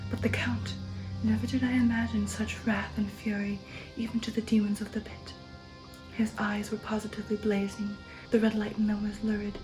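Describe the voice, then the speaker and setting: Woman, breathy ASMR whisper, slow dramatic storytelling, narrow even pitch, clear music underneath. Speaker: ASMR host and narrator. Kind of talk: whispered ASMR audiobook reading.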